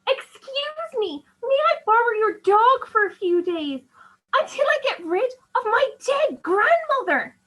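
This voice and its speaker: A woman's voice: extremely high pitch, sing-song, measured pace, fairly bright tone, exaggerated voice, poor audio. A woman playing an exasperated, complaining young granddaughter in a radio play. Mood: sarcastic, exasperated. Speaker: actor in an amateur radio play.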